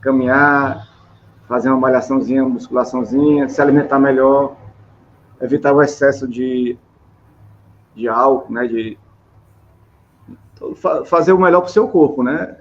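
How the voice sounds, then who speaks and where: A man's voice: medium pitch, steady tone, normal speed, pauses often. Middle-aged Brazilian man, dull noisy recording. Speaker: interview host. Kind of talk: casual rambling chat. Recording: dull, lo-fi, clear background noise.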